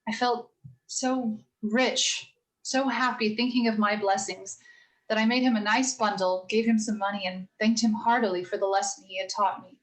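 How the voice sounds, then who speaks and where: A woman playing a gentle, earnest mother of four girls, telling stories in a measured, sincere way for a radio play rehearsal. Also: mid-range voice, steady tone, close to an everyday voice, poor audio. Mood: earnest, moved. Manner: measured, sincere moral storytelling.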